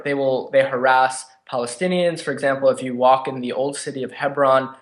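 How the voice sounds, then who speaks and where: A man's voice: medium pitch, a bit bright. A man giving interview commentary as a journalist, hesitant and restating phrases. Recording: echoey room.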